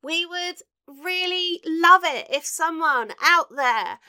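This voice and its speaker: Bright high-voiced woman podcast host. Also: animated, slow. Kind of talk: casual podcast chat.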